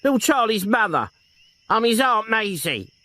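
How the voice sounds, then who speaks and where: Frail, weepy aunt in her seventies, man voicing her, high and wavering, protective. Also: very animated, normal speed, sharp tone, no room sound. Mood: tearful. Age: 70s. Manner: tremulous, emotional, protective. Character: grieving elderly aunt, frail and tearful.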